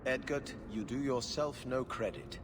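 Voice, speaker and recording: An actor playing a proud, resolute nobleman in a video game, speaking formally and gravely. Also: mid-range voice, unhurried, background noise, close to an everyday voice. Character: proud, resolute nobleman pledging his sword. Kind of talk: video game character acting.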